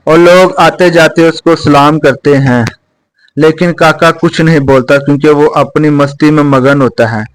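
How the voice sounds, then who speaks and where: Slow male storyteller, slightly high voice. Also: dry recording, low-quality recording. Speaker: storyteller, narrator. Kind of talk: scripted moral story narration.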